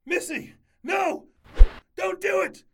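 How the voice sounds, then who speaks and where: A woman's voice: very high voice, slow, full character voice. A woman playing a scheming, exasperated best friend in an audio drama. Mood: panicked.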